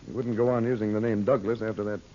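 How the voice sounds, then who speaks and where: An actor playing a composed adventurer hero in a radio serial, businesslike and full of questions. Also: male voice, lowish voice, steady tone, quick pace, warm tone, lightly acted. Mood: concerned. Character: composed, inquisitive male adventurer hero, magician. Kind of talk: old-time radio adventure serial acting. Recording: muffled old radio recording with hiss.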